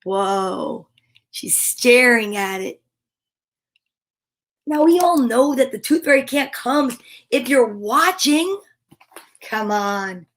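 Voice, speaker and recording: Female children's storyteller, high voice, unhurried, playful and theatrical. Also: animated. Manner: dramatic, with gasps and playful asides. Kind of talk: animated children's story read-aloud.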